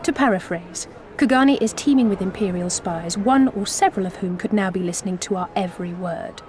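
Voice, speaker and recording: An actress playing a cool-headed, shrewd adventuring companion in a video game, matter-of-fact and direct. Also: female voice, medium pitch, brisk, background noise, lightly acted. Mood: skeptical, measured. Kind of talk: scripted video game character dialogue.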